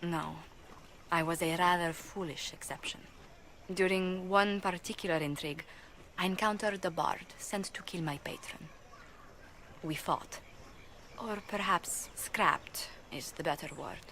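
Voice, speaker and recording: A woman voicing a refined, gentle young noblewoman diplomat in a video game, speaking politely, formally and earnestly over background noise. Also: on the low side, measured pace. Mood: regretful. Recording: clear background ambience noise.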